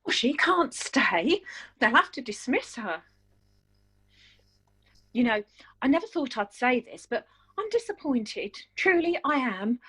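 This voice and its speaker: A woman playing an indignant, disappointed member of a household in an amateur musical read-through, with a husky voice. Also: sits high, very animated, measured pace, pauses often, a bit bright, full character voice, rough recording.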